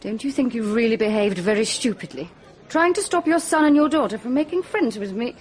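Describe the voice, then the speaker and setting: Actress as spirited, animated young Englishwoman. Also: a little high, fairly quick, close to an everyday voice. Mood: scornful, exasperated. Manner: forthright, expressive. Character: outspoken, spirited young English woman doctor. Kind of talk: radio drama acting.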